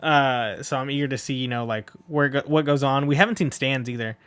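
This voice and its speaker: A man's voice: mid-range voice, fairly quick, fairly bright tone, clean tone, no room sound. A man hosting a read-along, chatting casually and enthusiastically to viewers in a rambling, informal way. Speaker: video host and read-along performer.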